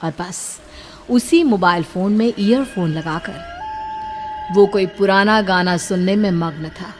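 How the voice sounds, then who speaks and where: A woman's voice: mid-range voice, huge pitch swings, slow, noisy background. A woman delivering scripted narration of a horror story. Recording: clear music under the voice.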